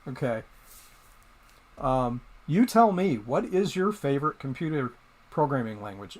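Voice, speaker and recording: A middle-aged man from Michigan hosting a casual live stream, rambling with plenty of pauses and restarts as he talks to viewers in chat. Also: mid-range voice. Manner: rambling, hesitant, many ums and restarts.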